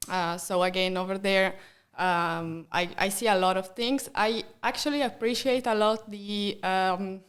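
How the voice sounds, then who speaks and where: A young Italian woman speaks English with a clear accent, her voice sitting at a middle pitch. She chats casually on a podcast, stumbling over fillers and slipping in small laughs. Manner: hesitant, many fillers, laughs often. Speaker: guest.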